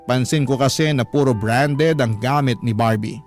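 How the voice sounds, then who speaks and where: A man's voice: mid-range voice, moderate pace, darkish tone, faint music behind, natural voice. An actor playing a modest, self-made Filipino man who tells his love story in earnest, confiding radio narration. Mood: earnest, reflective. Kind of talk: scripted first-person radio narration.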